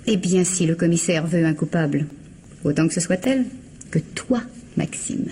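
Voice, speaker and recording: An actress playing a cool, scheming sister in a radio mystery, falsely polite, composed and insinuating. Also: female voice, fairly low pitch, expressive, moderate pace, warm tone, close to an everyday voice. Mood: cold, scheming. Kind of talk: French radio mystery drama acting. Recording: radio drama with audible background noise.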